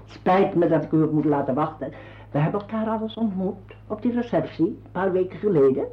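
A man's voice: sits high, expressive, brisk. A man acting a fussy, eager sidekick in a radio play, gushing and over-polite with a sly streak. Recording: muffled old radio recording.

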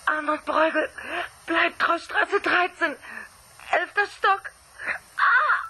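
A woman's voice: very high voice, slow, bright, forceful tone, exaggerated voice, rough recording. Terrified screaming woman. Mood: panicked. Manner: panicked screaming.

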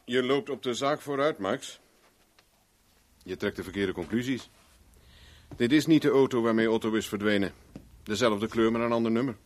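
An actor playing a cool, determined private investigator with a dry, self-assured manner in a 1970s radio play. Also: male voice, mid-range voice, moderate pace, natural voice. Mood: cool, firm. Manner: dry, self-assured, talks to himself while searching. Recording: noisy old radio drama recording.

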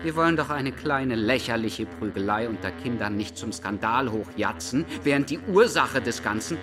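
A man's voice: medium pitch, lively intonation, moderate pace, music in the background, close to an everyday voice. An actor playing an indignant, sneering father who speaks in scornful, haughty rhetorical questions in a theatrical drama. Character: indignant, sneering father, a doctor, defending his son. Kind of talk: theatrical stage dialogue.

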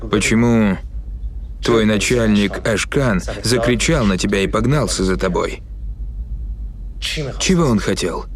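A man's voice: on the low side, a little slow, pauses often, close to an everyday voice. A man reading the curt, questioning lines of a stern male police investigator, as a voice-over with crowd noise underneath. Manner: curt, interrogating.

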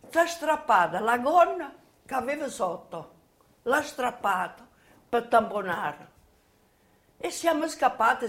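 Old lady in her 80s telling her memories, a bit high voiced, halting and repeats herself. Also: a bit bright. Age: 80s or older. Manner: halting, repetitive storytelling. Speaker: witness giving video testimony. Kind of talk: personal reminiscence, spoken testimony.

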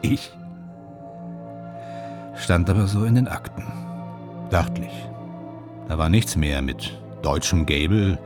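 A low-voiced actor of 1930s Germany in a radio drama. He is vain and wistful, musing theatrically in clipped phrases. Music plays clearly behind him. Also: lively intonation, takes it slowly, pauses often. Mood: indignant, boastful. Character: vain, wistful male film and stage actor in Nazi-era Germany. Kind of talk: radio drama monologue and dialogue.

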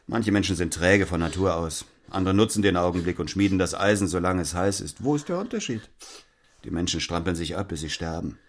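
Low-voiced, condescending cynic. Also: male voice, a little fast. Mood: condescending, philosophising. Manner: dry, condescending, lecturing. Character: detached, cynical, self-important businessman husband, Alain. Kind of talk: theatrical audio play acting.

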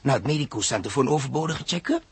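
An actor playing a decisive, impatient and persuasive space crew leader in a 1960s radio drama. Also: male voice, medium pitch, expressive, rapid-fire, close to an everyday voice. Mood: urgent, persuasive. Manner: commanding, explanatory.

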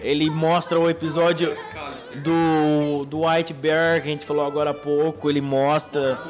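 A man's voice: a little high, flat delivery, a little slow, soft background music. A man hosting a podcast in casual chat, recapping loosely with many restarts. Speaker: podcast host.

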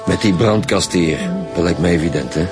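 Brusque detective chief played by an actor, low voice, commanding, with background music. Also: male voice, fairly quick, natural voice. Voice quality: curt, commanding. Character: brusque Flemish police chief inspector. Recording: radio play with background music.

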